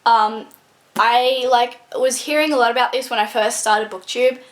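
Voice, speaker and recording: A woman's voice: a little high, fairly even intonation, moderate pace. A young woman book vlogger chatting casually to camera, with lots of ums and likes.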